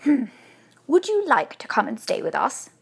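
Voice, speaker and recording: A woman's voice: high-pitched, big pitch swings, normal speed, gravelly, pitched above the performer's own voice, full character voice. A woman voicing a hesitant, fussy and doubtful married man in a children's storybook.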